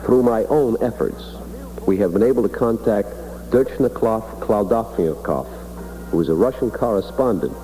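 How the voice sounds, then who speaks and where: A man's voice: lowish voice, warm tone, a little hiss or hum. A man hosting on radio, making a formal on-air introduction in an old recording. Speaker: radio host. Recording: reverberant, faintly humming old radio recording.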